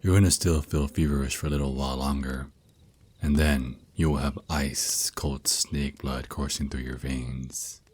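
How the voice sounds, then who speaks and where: A man's voice: rumbling low, flat delivery, slightly rough. A man voicing a teasing, protective vampire naga boyfriend who mentors his lover in an intimate, reassuring ASMR roleplay. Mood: tender, reassuring. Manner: soft teasing endearments, reassuring, unhurried. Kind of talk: ASMR roleplay, intimate one-sided dialogue.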